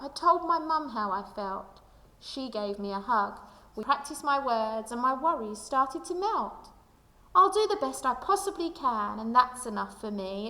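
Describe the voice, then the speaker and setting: A woman reading a children's picture book aloud like a primary school teacher, with expressive, deliberate storytelling delivery. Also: a little high. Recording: echoey room with long reverb.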